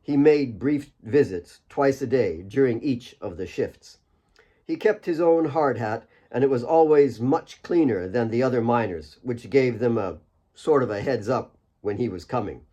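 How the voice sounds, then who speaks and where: A man narrates a dramatic story as an audiobook. His voice is of middle pitch, and he reads scripted prose as its author. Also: lively intonation, a little slow, warm tone, highs cut off. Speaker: author reading own audiobook.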